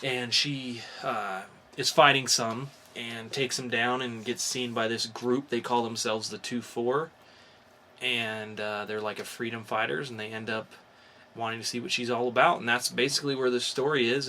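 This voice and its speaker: A man's voice: medium pitch, sharp tone. A man co-hosting a podcast, chatting casually and enthusiastically about comics in an informal way. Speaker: podcast co-host. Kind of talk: casual comic book review chat. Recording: faint background noise.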